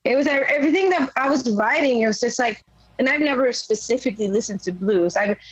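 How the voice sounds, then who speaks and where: A young woman from Sweden with a naturally raspy voice, a rock singer chatting casually in an interview, rambling with many fillers. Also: fairly high pitch, quick pace, no room sound, poor audio. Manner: rambling, lots of "like" and "you know". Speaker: rock vocalist guest.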